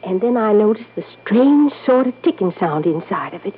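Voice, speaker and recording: A woman's voice: medium pitch, lively intonation, moderate pace, breathy edge. An actress playing a dignified, wealthy middle-aged lady in an old-time radio drama, genteel, composed and confiding. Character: dignified, wealthy Southern lady in her late forties. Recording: tinny, band-limited vintage radio recording.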